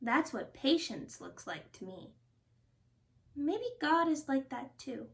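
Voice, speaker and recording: A woman hosting a storytime, reading a children's picture book aloud with expressive, deliberate phrasing. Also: high-pitched, vocal fry. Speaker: storytime host and reader.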